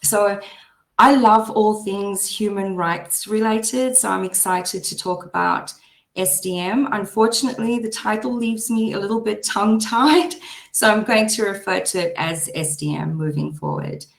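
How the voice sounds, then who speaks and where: Hoarse aussie lady presenting over a call, chatty, roomy echo. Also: medium pitch, unhurried. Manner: chatty, laughs easily. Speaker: presenter, behaviour support practitioner. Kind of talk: webinar presentation. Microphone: video call. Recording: echoey room.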